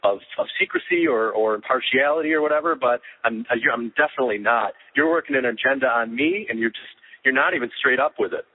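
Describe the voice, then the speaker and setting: Podcast co-host heard over a tinny phone line, mid-pitched man, opinionated and measured, often repeats a word before going on. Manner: opinionated, measured, stammers on restarts. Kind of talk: casual phone conversation.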